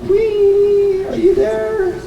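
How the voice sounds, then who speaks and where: A man's voice: very high-pitched, level tone, extremely slow, creaky, lo-fi sound, voice pushed up, full character voice. A man voicing an earnest, pleading Brahmin prisoner in a live puppet show. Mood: hopeful calling. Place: indoor bookstore event, over a microphone. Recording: very echoey noisy hall, distant microphone.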